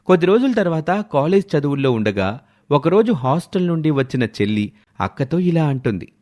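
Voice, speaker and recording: Animated male storyteller with swooping intonation. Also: on the high side, a little fast. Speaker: storyteller, narrator. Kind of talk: scripted moral-story narration.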